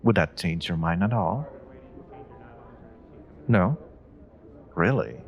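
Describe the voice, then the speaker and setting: A man's voice: sits low, animated, vocal fry, highs cut off, soft background music, heavily acted. A man playing a suave, flirty stranger in a romantic audio roleplay, teasing and coy as he speaks directly to the listener. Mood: coaxing, intrigued. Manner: teasing, coy, unhurried, murmuring endearments. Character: suave flirty male stranger, playful and confidently charming. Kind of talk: romantic audio roleplay, one-sided dialogue addressed to the listener.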